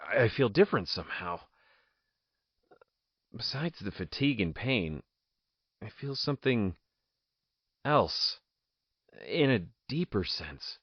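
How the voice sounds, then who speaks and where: An actor playing an anguished, imprisoned political outcast with strange powers, speaking in theatrical, solemn soliloquy that can break into frantic outbursts. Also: male voice, medium pitch, husky, no echo, exaggerated voice. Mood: awed, confused. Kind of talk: audio drama acting, soliloquy. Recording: dull lo-fi dry recording.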